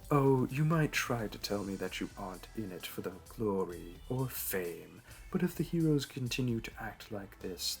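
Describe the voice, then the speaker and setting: A man playing a suave, flirtatious supervillain in a roleplay audio drama, smug, teasing and theatrical. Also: medium pitch. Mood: persuasive. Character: smug, flirtatious male supervillain courting a hero.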